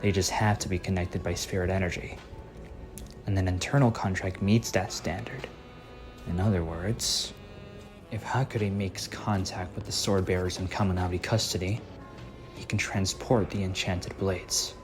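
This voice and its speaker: Here is a grave young anime swordsman, steady and quiet, with a low-set voice. He explains things plainly and without fuss. A man performs him in a fan dub, and music plays clearly behind him. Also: normal speed, close to an everyday voice. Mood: calm. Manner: quiet, steady, matter-of-fact. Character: calm, serious young swordsman.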